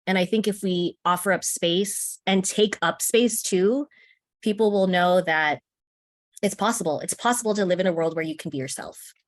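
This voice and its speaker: A woman's voice: on the low side, normal speed, no room sound, studio quality. An American woman talking as a guest in an interview, earnest and encouraging, laughing often as she speaks. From United States. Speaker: interview guest, contributing author. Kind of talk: casual interview conversation.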